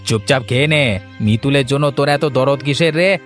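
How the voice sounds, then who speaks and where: Stingy village patriarch for a cartoon, played by a man: domineering, scolding, medium pitch, faint music bed. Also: fairly quick, natural voice. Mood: irritated, stern. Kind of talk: Bengali cartoon voice acting.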